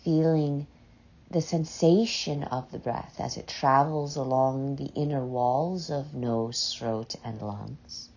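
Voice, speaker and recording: A woman leading a guided meditation in a soft, breathy voice, gently and unhurriedly, with long pauses between short phrases. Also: rumbling low. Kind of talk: slow, soothing guided meditation. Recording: dull, muffled lo-fi sound.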